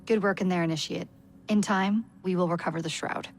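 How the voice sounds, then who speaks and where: Woman playing composed handler briefing a recruit in a game, lowish voice. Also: steady tone, fairly quick, close to an everyday voice. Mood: calm, encouraging. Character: composed female assassin handler briefing an initiate. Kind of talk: mission briefing in a video game.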